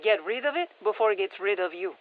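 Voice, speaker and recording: A woman playing a brisk, tech-minded science operative in a video game, giving matter-of-fact, wry mission instructions over a radio filter. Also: medium pitch, expressive, narrow, tinny audio. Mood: brisk, focused. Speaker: mission handler. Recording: thin band-limited radio comms sound.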